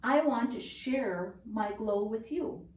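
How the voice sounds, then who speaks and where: A woman reading a children's picture book aloud in a measured, deliberate storytelling manner, recorded at home. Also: on the high side, a little mellow. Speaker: storybook reader. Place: indoors at home. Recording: roomy home recording, distant mic.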